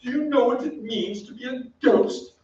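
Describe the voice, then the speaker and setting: A man's voice: extremely high pitch, moderate pace, a little mellow. A man playing a pompous, melancholy centuries-old aristocratic ghost with archaic, theatrical diction in an old-time radio drama. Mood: sorrowful. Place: on stage in a theatre. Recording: distant, echoey stage recording, muffled.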